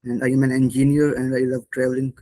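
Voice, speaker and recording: A man's voice: medium pitch, level tone, quick pace, warm tone. A man with a Pakistani accent giving a short self-introduction as a class participant. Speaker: webinar participant, engineer.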